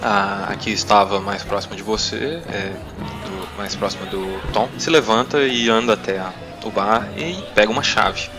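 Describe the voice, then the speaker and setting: A young man with a Brazilian accent narrates a tabletop roleplaying game in a fairly low voice. He improvises, pausing to search for words, while music plays clearly behind him. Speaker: game master.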